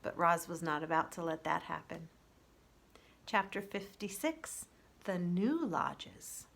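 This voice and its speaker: A woman reading a children's story aloud in an expressive storybook narration style, as a librarian would. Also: on the low side, takes it slowly, creaky.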